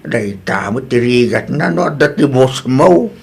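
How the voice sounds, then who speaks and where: A man's voice: mid-range voice, animated, a little slow, vocal fry, breathy edge, full character voice. A Filipino man with an Ilocano accent playing a sympathetic older father who asks questions, in a comedy-drama. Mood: concerned.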